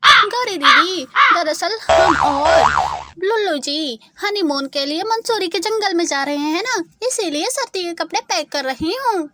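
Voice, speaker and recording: Woman voicing a high-pitched, firm young crow wife. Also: wildly swooping pitch, unhurried, pushed and bright, heavily acted. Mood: polite, pointed. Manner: polite, composed, pointed. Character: young married female crow, polite but firm daughter-in-law. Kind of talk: children's cartoon story voice.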